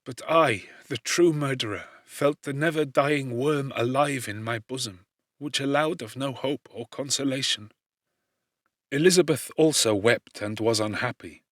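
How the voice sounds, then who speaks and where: A man narrating classic literature as an audiobook in a solemn, measured, theatrical manner. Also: medium pitch, a bit bright, no room sound, studio quality.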